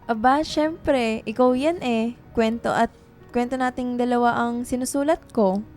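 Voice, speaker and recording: Actress playing a soft, high-voiced young woman in love. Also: unhurried, faint music behind, close to an everyday voice. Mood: affectionate. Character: Lila, tender, anxious young woman writer in love. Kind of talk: radio drama acting.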